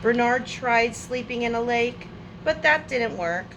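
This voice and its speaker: A woman's voice: a little high, muffled recording, noisy background. A woman hosting a storytime, reading a picture book aloud to young children expressively, with friendly asides to her listeners. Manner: expressive, drawn-out words, addressing listeners as friends.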